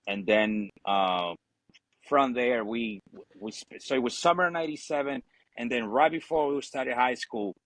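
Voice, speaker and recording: A man's voice: mid-range voice, dry recording, rough recording. A man, telling his story casually and informally as a guest. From Ecuador. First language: Spanish. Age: 40s. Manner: informal, frequent "you know" and "obviously", swearing. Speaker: podcast guest, Marine veteran.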